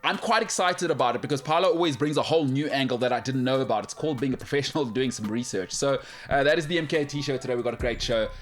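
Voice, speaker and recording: A man's voice: medium pitch, fast, hardly any pauses, soft background music. A man hosting a sports talk show, chatting casually and laughing easily. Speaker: sports radio host. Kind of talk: casual studio banter.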